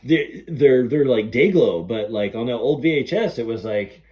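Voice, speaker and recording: A middle-aged man hosting a podcast, chatting casually and gushing like an excited fan. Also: mid-range voice, normal speed. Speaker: podcast host. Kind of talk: casual chat between film fans.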